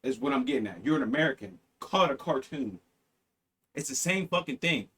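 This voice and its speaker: A man's voice: mid-range voice, lively intonation, fairly quick, a bit bright, slightly rough. A man co-hosting a live stream show, chatting casually and arguing his point insistently, repeating himself. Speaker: podcast co-host. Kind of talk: casual livestream banter.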